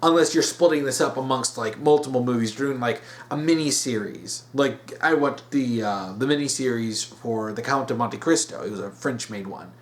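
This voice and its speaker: A male host talks through his opinions unscripted, in a medium-pitched voice. He speaks emphatically, often halting and restating a point to drive it home. The feel is that of a solo commentary video. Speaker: host of a weekly topic video series.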